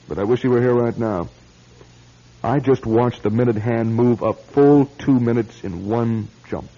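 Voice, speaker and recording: An actor playing a skeptical, expressive man in a radio drama. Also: medium pitch, slightly rough. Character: skeptical man, scoffing, turning frightened as doom nears. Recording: dull lo-fi radio recording.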